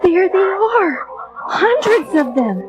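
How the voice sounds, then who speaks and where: A woman's voice: very high voice, sing-song, slow pace, background noise, exaggerated voice. An actress playing an alarmed, plucky young woman in a storybook drama, urgent and emphatic. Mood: anxious. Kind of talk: read-along storybook drama acting.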